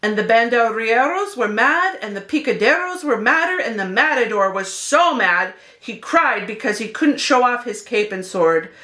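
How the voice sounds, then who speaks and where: A woman's voice: on the high side, expressive, moderate pace, few pauses, sharp tone. A woman narrating a children's picture book expressively, as a read-aloud host speaking to young listeners.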